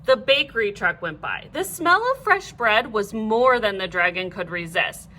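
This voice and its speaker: A woman reading a children's storybook aloud like a teacher, in an animated, clearly phrased way. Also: medium pitch, moderate pace, slight background noise.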